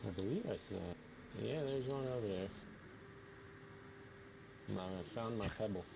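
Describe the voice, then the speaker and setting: A man's voice: fairly low pitch, unhurried, gravelly. A man chatting casually while playing a game with friends, making dry, wry remarks. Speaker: co-player. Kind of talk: casual multiplayer gaming chat. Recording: noisy game capture.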